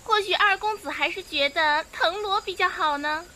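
A woman acting an excitable, nosy young maidservant gossiping in a Chinese period audio drama. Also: very high-pitched, moderate pace, a little hiss or hum. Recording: clear music behind the voice.

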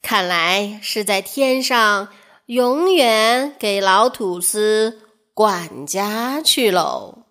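A woman telling a scripted fairy tale to children in an expressive way. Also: medium pitch, very slow. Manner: expressive, addresses young listeners. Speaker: children's storyteller.

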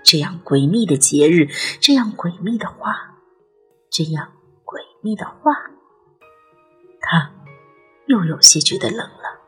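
A woman's voice: fairly low pitch, expressive, measured pace, pauses often, breathy edge, soft background music. A woman narrating a ghost story as a dramatic audiobook, telling it expressively and with suspense. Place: studio recording with music behind.

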